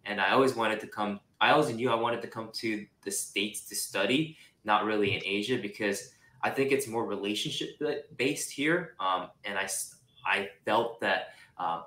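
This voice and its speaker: A young man with a bright, forceful voice sits somewhat low in pitch. He talks in a relaxed, chatty way, full of "like" fillers and easy laughter. The room gives his voice a noticeable echo. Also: moderate pace. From Japan, raised in Singapore. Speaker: real estate investor guest. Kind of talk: casual interview.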